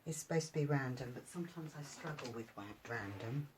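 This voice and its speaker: A woman from the UK talking quietly through a card-stamping tutorial, with murmured asides as she works. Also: rumbling low, brisk, noisy background. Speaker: craft demonstrator. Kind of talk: casual crafting tutorial.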